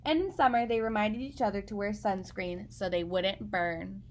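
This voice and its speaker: A woman reading a children's picture book aloud in an expressive storytelling style, speaking as if to young listeners. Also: mid-range voice, normal speed, a little hiss or hum.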